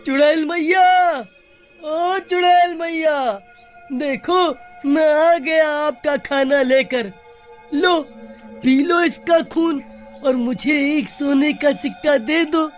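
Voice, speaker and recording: A woman's voice: high voice, takes it slowly, soft background music. A woman voicing a greedy, cowardly male village farmer in a Hindi cartoon, with a wheedling, exaggerated manner. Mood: eager.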